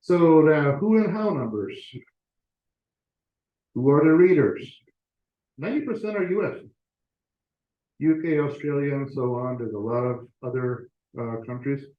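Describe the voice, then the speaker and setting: A man's voice: on the high side, lively intonation, unhurried, long pauses. Man presenting in an echoey room. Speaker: author and guest speaker presenting to a club. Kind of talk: informal presentation to an audience. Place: meeting room, talk to a live audience. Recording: echoey room, slightly muffled audio.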